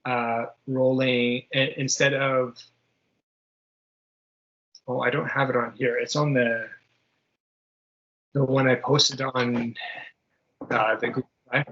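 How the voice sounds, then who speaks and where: A man working as game master of a tabletop superhero game, improvising narration and explaining rules in a halting way, searching for his words. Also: mid-range voice, level tone, highs cut off.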